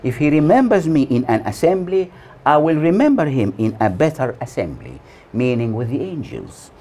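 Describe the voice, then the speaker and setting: A man in his sixties delivering a scripted sermon as a preacher, solemn and deliberate, with measured phrases and pauses. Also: medium pitch, sing-song, dark tone. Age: 60s. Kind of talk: scripted sermon read from notes. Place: small plain room indoors. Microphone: lapel mic.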